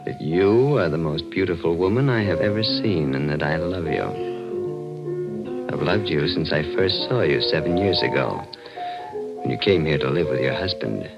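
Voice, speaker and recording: An actor playing an eerie, menacing, lovestruck seer with a deliberate, courtly manner in a 1940s radio mystery. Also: male voice, extremely low pitch, animated, vocal fry, exaggerated voice. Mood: ardent. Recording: dull old radio recording, loud music.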